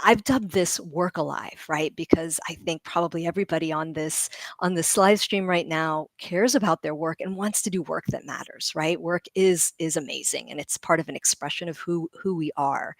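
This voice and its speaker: A middle-aged woman speaking as a leadership guest on a livestream panel, animated and laughing often as she explains ideas with vivid comparisons. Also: low voice, dry recording.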